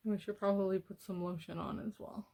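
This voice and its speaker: A soft-spoken woman doing an ASMR self-care roleplay, gently guiding the listener in a soothing manner. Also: medium pitch, steady tone, a little fast. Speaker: ASMR creator, host.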